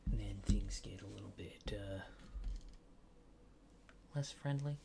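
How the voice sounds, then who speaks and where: A man doing a soft-spoken, often whispered ASMR roleplay as a casual, polite alien technician, rambling hesitantly in a low-key, reassuring way. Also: slow pace, gravelly, noisy background. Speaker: ASMR creator.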